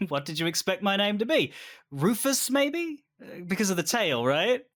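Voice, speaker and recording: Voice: mid-range voice, sing-song, normal speed, fairly bright tone, dry recording, clean studio sound. An actor voicing a courteous, good-natured and slightly hesitant tailed guard in a fantasy audio drama. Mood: teasing.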